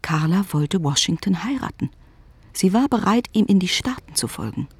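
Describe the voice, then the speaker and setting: A woman narrating scripted literary prose with a breathy, gravelly voice. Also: on the low side, very animated, normal speed, a little mellow, dry recording.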